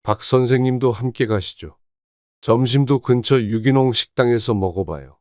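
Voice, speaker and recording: A man's voice: mid-range voice, normal speed, a little mellow, no echo, clean studio sound, close to an everyday voice. A man playing a friendly older companion in an audio story. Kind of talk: scripted audio story dialogue.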